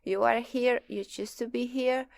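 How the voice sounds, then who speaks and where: Very creaky young Polish-accented woman. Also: on the high side, fairly even intonation, measured pace, a little mellow, no echo. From Poland. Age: 20s. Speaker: interview guest, PhD student. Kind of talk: conversational interview, personal storytelling.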